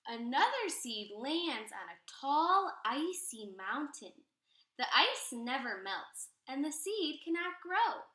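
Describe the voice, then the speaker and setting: A woman's voice: high voice, slow pace, roomy sound. A woman teacher reading a picture book aloud to young children, expressively, with asides and questions addressed to them. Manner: expressive, talks directly to young listeners with questions.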